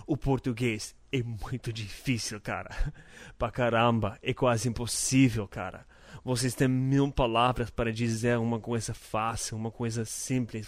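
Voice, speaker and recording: A man's voice: medium pitch, dry recording. A young American man hosting his own podcast, speaking Portuguese as a learner with an English accent, friendly and earnest, joking and stumbling over words. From North America. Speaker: podcast host. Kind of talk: casual solo podcast monologue.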